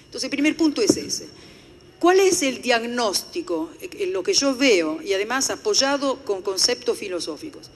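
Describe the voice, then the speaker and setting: A woman's voice: a little high, sing-song, normal speed, a little hiss or hum. Older Italian woman speaker, earnest lecture tone, echoing hall. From Italy. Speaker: conference speaker. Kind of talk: formal lecture. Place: conference hall. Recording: echoey hall.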